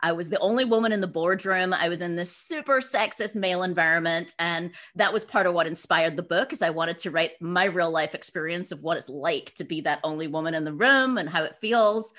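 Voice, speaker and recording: A woman's voice: on the low side, fairly quick, few pauses, a bit bright. Upbeat, effusive woman from Scotland. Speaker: guest author. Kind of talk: interview answers, personal storytelling.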